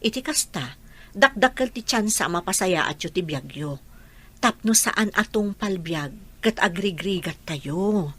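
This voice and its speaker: A woman playing a gentle, resigned rural mother in a radio drama, earnest and reassuring, with an Ilocano accent. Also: lowish voice, lively intonation, a little slow, breathy edge, exaggerated voice. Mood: earnest, parting. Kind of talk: Filipino radio drama acting.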